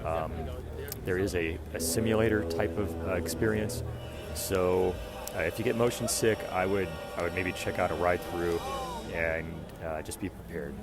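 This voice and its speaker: A man's voice: low-pitched, normal speed, loud music behind the voice. A man hosting a live stream outdoors at a theme park event at night, reading and answering viewer comments in a scratchy, stuffy voice. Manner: friendly, answers questions with fillers.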